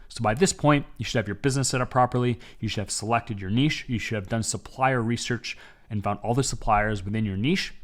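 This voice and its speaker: A young man from Canada teaching an online business course in a steady, step-by-step instructive way. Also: medium pitch. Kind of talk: scripted online business lesson.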